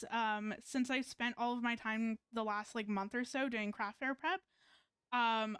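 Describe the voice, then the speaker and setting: A woman's voice: fairly high pitch, a bit bright, clear, resonant tone, no echo. A woman game streamer chatting casually in a rambling, hesitant way, close to the mic. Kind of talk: casual livestream chat, reading game dialogue aloud.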